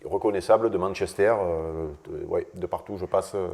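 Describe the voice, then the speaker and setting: A man's voice: deep voice, steady tone, brisk, a little mellow. A man, chatting casually in an interview, rambling with lots of hesitant fillers and dry self-mocking humor. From Vaucluse, southern France. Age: 60s. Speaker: guest, former footballer.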